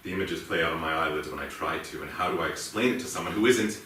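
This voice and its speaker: An actor playing a haunted, guilt-ridden policeman and family man in a brooding, halting, intense stage monologue. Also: low-pitched, close to an everyday voice. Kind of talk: dramatic theatrical monologue. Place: indoor theatre stage. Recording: echoey theatre, distant mic, faint noise.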